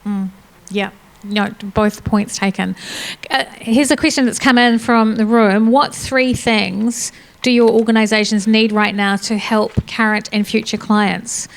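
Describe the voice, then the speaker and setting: A woman moderating a conference panel, briskly affirming answers and reading out questions from the room. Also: a little high, fairly even intonation, vocal fry, poor audio.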